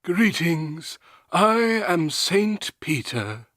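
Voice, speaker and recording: A genial heavenly gatekeeper played by a man in an old-time radio ad. He is stately and officious, with a slow, lively salesman's delivery in a dry recording. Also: fairly high pitch, dry recording, heavily acted. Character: genial, officious Saint Peter turned tire pitchman.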